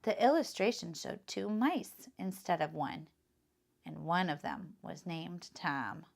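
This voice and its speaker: A woman reading a children's picture book aloud as a teacher, in an expressive, instructive style. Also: fairly low pitch, slow pace, creaky.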